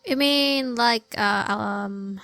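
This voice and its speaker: High, bright-voiced woman commenting on a game. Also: extremely slow, dry recording, faint music behind. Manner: hesitant, stammering, repeats words. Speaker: game streamer reacting to story dialogue. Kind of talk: casual gameplay commentary.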